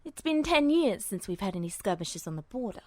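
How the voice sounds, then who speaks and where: A woman playing a calm, polite, calculating male army officer with quiet menace in a story reading. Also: mid-range voice, a little fast, dry recording, studio quality, voice pushed down. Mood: calm, detached.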